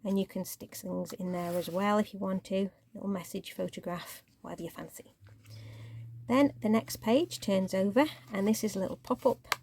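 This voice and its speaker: A woman hosting a crafting channel, chatting casually through her project, a little croaky and sometimes dropping to a near-whisper. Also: medium pitch, normal speed. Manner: chatty, relaxed show-and-tell, drops to near-whisper while handling paper. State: croaky voice, clearing throat.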